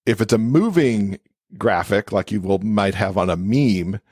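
Male interview guest, lowish pitch, conversational explainer with "you know" asides, dry sound. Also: measured pace, a little mellow, breathy edge, dry recording. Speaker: podcast guest, accessibility expert and author.

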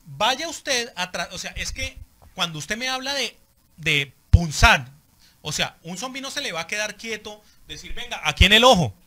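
A man's voice: high voice, animated, unhurried, pushed and bright. A Colombian man debating casually with friends, insistent and often repeating and restarting his phrases. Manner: insistent, repetitive, starts phrases over. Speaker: podcast panelist. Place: small band rehearsal room with acoustic foam. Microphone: handheld microphone.

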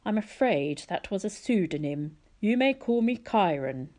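Woman playing older male teacher, storybook mentor, kindly, courteous, wry, expressive intonation. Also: mid-range voice, close to an everyday voice. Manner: courteous, measured, gently wry.

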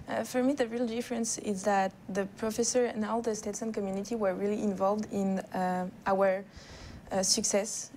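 A woman's voice: medium pitch, mellow, slight background noise, rough recording. A woman, speaking in a composed, prepared way as a panel guest. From France. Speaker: international law student.

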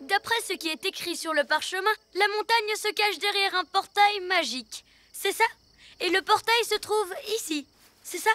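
Boastful, high-pitched boy ninja villain. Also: moderate pace, pushed and bright. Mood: impatient, haughty. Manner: haughty, mocking. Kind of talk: French cartoon dubbing.

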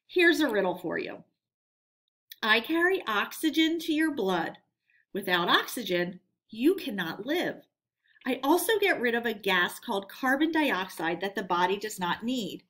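A woman teacher reading a scripted educational lesson aloud for children in an expressive, deliberate way. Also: fairly high pitch.

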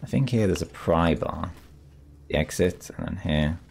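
A man with a raspy voice, still getting over a cold, calmly commenting as he plays a survival game and thinks aloud. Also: sits low, moderate pace, a little hiss or hum. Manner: relaxed, thinking aloud while exploring. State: recovering from a cold. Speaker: gameplay commentator. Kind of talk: calm let's play commentary.

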